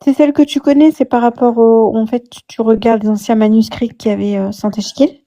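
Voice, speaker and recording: A woman's voice: fairly high pitch, fairly even intonation, fairly quick, mellow. A woman in a live online debate, insistently explaining her method and repeating her point. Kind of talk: casual live discussion.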